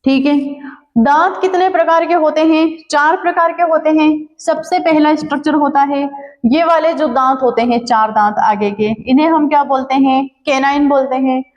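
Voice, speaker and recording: High-voiced woman teaching in a hall. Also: normal speed, highs cut off. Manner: asks questions and answers them herself. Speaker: biology teacher. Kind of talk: classroom-style lesson.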